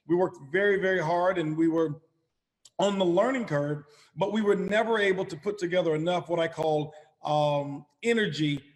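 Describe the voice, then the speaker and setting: Slightly raspy middle-aged man pitching on a call. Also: big-room sound. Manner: emphatic, repeats phrases, addresses listeners as ladies and gentlemen. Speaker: company chairman hosting an opportunity call. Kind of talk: motivational sales pitch on a conference call. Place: calling in by phone, not a studio.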